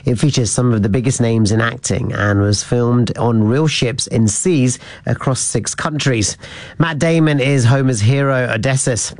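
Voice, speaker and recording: A man presenting the news in a steady, formal delivery. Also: mid-range voice, dry recording.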